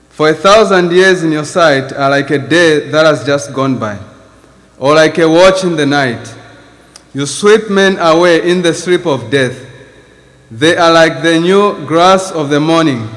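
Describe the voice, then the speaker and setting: A man's voice: a little high, fairly bright tone, in a large hall. A man from Zambia with a Zambian accent, preaching a sermon in church and reading scripture earnestly. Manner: earnest, reads scripture with rising emphasis. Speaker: visiting preacher.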